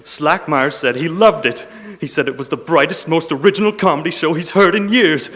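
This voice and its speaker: A man's voice: fairly high pitch, a little fast, a bit bright, lightly acted. A man playing an exasperated, theatrical, blustering radio show producer in a stage comedy. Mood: elated. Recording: echoey hall, noisy live recording.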